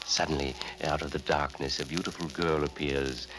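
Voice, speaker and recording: A man playing a sinister storyteller who hosts a 1940s radio horror show, speaking in an ominous, deliberate, theatrical way. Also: extremely low pitch, steady tone, music in the background, rough recording. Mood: ominous, brooding. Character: sinister, macabre host of horror tales, brooding storyteller. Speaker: host and narrator.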